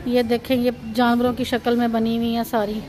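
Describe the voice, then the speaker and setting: A woman's voice: on the high side, completely flat delivery, a little fast. A woman from Pakistan, narrating a casual walking tour as a vlogger and pointing things out as she goes, recorded on a handheld phone. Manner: pointing things out, repeating "look at this". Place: chocolate shop and busy shopping street.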